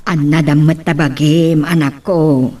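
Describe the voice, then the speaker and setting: A woman playing a gentle, sickly middle-aged mother who worries over her child in a radio-style drama. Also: low-pitched, fairly even intonation, unhurried. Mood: sorrowful. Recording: distant from the mic.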